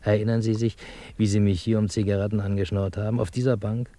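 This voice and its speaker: A man's voice: on the low side, little pitch movement, quick pace, dark tone, no echo, close to an everyday voice. An actor playing a guarded, cynical intelligence handler in a radio play, wry and precise in his phrasing. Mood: wry, reflective. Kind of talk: German radio play acting.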